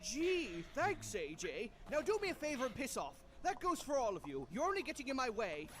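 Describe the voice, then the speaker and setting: A man's voice: extremely high pitch, huge pitch swings, brisk, a bit bright, a little hiss or hum, full character voice. A man voicing a self-important, foul-mouthed young female pony in a parody cartoon dub, sarcastic, bossy and boastful. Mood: annoyed, bossy. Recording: music under the dialogue.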